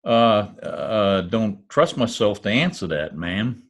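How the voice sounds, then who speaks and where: Man putting on a folksy, bashful cowboy, swooping pitch. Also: expressive, slow, heavily acted. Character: bashful, folksy Oklahoma cowboy with oil money. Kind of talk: old-time radio comedy-drama acting.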